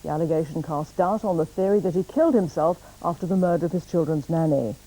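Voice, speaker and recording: A woman with a British accent reading scripted news in a formal, measured way. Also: low-pitched, warm tone, rough recording. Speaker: newsreader.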